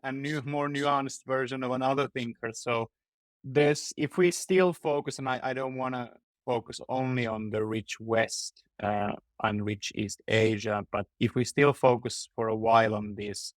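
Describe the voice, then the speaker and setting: Medium-pitched male interviewer, dry close sound. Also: steady tone, a little slow, no echo. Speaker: podcast host, interviewer. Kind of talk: conversational interview questioning.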